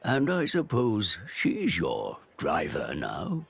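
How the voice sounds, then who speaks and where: A man playing a gentle old talking beast in a video game, with a gravelly, husky voice and a folksy, nostalgic way of talking. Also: fairly low pitch, wildly swooping pitch, full character voice. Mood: nostalgic, wry. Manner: slow, folksy, unhurried. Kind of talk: video game cutscene acting.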